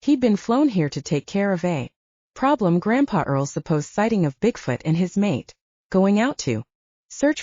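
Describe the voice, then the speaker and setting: A woman's voice: fairly low pitch, warm tone, no room sound. A woman reading scripted fiction as an audiobook narrator. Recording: dull, slightly lo-fi, dry recording.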